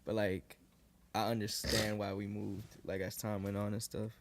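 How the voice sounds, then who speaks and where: A young man in his twenties from New York, a guest rapper chatting casually in a podcast interview, relaxed and loose with lots of fillers. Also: on the low side, normal speed, noisy background. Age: 20s. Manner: relaxed, lots of likes and restarts. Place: living room podcast set, indoors. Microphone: boom studio mic.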